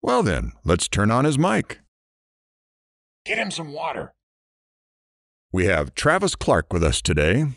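A man's voice: mid-range voice, wildly swooping pitch, a little slow, pauses often, a bit bright, no echo, clean studio sound, exaggerated voice. An actor playing a brash, sarcastic morning radio DJ with irreverent showman banter in an audio drama. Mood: teasing. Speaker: radio DJ host.

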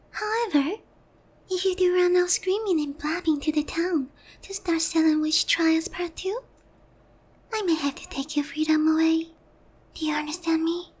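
A woman playing a lonely, sweet and softly possessive young witch girl in an ASMR roleplay, breathy and gentle. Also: very high voice. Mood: startled, stern. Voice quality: soft, breathy, whispery. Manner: slow, gentle, coaxing.

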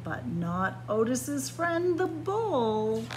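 Lively, slow-paced woman reading a picture book. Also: high-pitched, background noise. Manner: expressive, dramatic emphasis on key words. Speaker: children's librarian reading story time. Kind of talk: picture-book read-aloud.

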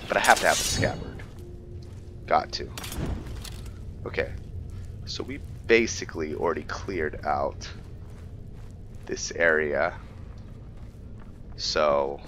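A man's voice: rumbling low, lively intonation, slow pace, long pauses, background noise. A man giving casual roleplay commentary on a video game, rambling and thinking aloud as he plays. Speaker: gaming video host. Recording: dull lo-fi mic under music. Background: game music.

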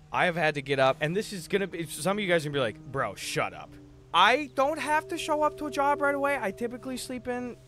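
A man's voice: fairly high pitch, fairly bright tone. A young adult man chatting casually on a gaming livestream, slangy, jokey and profane, with a slightly tired, worn-down voice. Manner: slangy, jokey, profane, often says "dude" and "bro". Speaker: livestream host. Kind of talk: casual gaming stream chat, reading out viewer messages. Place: indoor room at a streaming setup.

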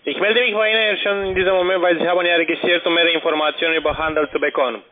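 A man's voice: fairly high pitch, fairly even intonation, very fast. A man working as a call centre agent, insistent and non-native in his phrasing, on a phone line. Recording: tinny narrow phone line.

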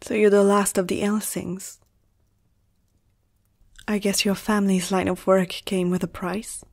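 Slow, husky, soft-spoken female vampire, acted by a woman. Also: mid-range voice, level tone. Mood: gentle, earnest. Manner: soft-spoken, often whispering, slow and soothing. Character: gentle, protective female vampire comforting a human. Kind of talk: ASMR roleplay.

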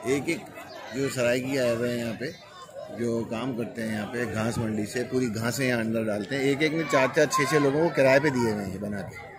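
A man's voice: mid-range voice, fairly even intonation, brisk. Older man talking over a noisy crowd. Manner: earnest, explaining at length. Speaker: local resident. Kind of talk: street interview. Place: outdoors by a city canal. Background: crowd chatter.

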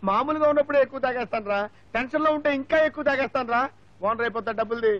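Very high-voiced, fast-talking comic bus conductor, whiny and fed up, on a dull film soundtrack. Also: male voice. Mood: exasperated, whining. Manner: whining, loud, pleading. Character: exasperated, hard-drinking male bus conductor, whiny and quick-tempered. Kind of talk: broad film comedy acting.

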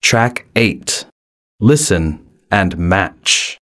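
A man's voice: low voice, lively intonation, a bit bright. A man announcing track numbers and exercise instructions for a language course. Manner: very slow, deliberate announcing. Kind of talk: reading instructions.

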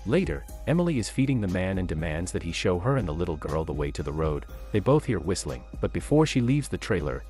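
A man reads a scripted movie recap, telling the plot in a steady storytelling way. His voice sits very low but moves up and down a lot as he goes. Soft music plays faintly underneath. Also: fast, hardly any pauses. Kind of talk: scripted narration.

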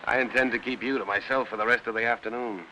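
A man's voice: mid-range voice, steady tone, fast, bright, forceful tone, poor audio. An American man in his thirties acting a cocky, flirtatious military officer with teasing, wry delivery in a 1950s Hollywood film. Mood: playful, flirtatious. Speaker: actor. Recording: hissy vintage film soundtrack.

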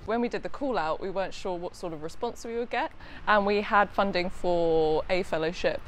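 A woman's voice: medium pitch, normal speed, a little hiss or hum, poor audio. A woman speaking casually and upbeat in an interview, recorded outdoors in a courtyard garden on a lapel mic. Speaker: head of research partnerships.

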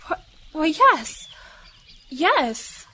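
High-voiced, devout lady missionary. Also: big pitch swings, drawn-out words, heavily acted. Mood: gently encouraging. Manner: earnest, gentle, formal phrasing. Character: devout, earnest, humble lady missionary in India. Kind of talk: audio drama acting.

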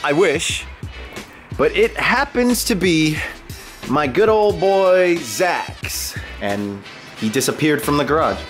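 A man in his twenties, sick and sounding a bit deeper than usual, chatting upbeat to a handheld camera in his home garage. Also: expressive, slow pace, faint music behind. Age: 20s. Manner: chatty and upbeat, joking with a friend. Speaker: car vlogger. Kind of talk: casual vlog talk to camera. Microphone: handheld camera close to face.

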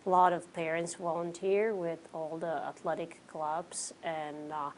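A woman in her forties from the Czech Republic with a Czech accent, relaxed as an interview guest, sprinkling in fillers. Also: low voice, a little slow, slight background noise. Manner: relaxed, many fillers like "you know" and "um". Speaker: interview guest, pro cyclist. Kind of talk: casual interview answers. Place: small studio with curtains, indoors.

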